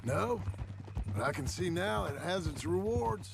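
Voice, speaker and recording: Gravelly laconic rancher. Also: male voice, high voice, expressive, measured pace, over music, full character voice. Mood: dry. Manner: laconic, dry. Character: gruff male rancher turned bounty hunter.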